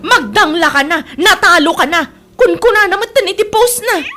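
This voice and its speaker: A woman with an Ilocano accent playing an excitable, gossipy woman in a radio comedy drama. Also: very high voice, moderate pace, heavily acted. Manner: animated, gossipy.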